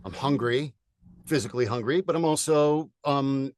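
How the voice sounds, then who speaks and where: A man in his forties from California, a voiceover artist chatting as a guest in an upbeat, energetic way with lots of fillers. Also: medium pitch, moderate pace, dry recording, studio quality. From United States (California). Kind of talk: casual interview chat.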